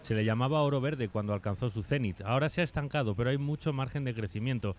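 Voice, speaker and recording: A man's voice: medium pitch, fast talker. A man narrating a scripted television news report.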